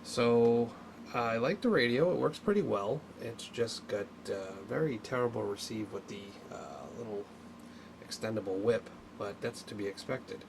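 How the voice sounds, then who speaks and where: A man giving a casual, unscripted hands-on tutorial, talking haltingly as he thinks aloud while working. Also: medium pitch, animated, vocal fry, background noise. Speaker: hobbyist presenter of a radio repair video.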